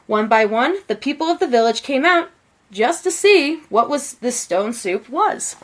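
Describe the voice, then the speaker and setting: A woman reading a children's picture book aloud in an expressive, measured storytelling manner. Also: sits high.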